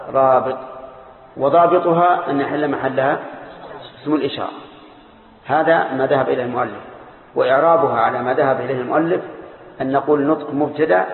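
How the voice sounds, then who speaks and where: A man teaching a classical grammar lesson, parsing each word slowly and deliberately. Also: mid-range voice. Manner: slow, deliberate parsing, drawing out key words. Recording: echoey hall over a tinny lo-fi line.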